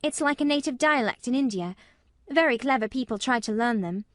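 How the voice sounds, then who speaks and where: A woman's voice: a little high, expressive, fairly quick, a bit bright, no echo. A woman voicing a prim, forthright nine-year-old girl in an audiobook dramatic reading, direct and rather cool. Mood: cool, matter-of-fact.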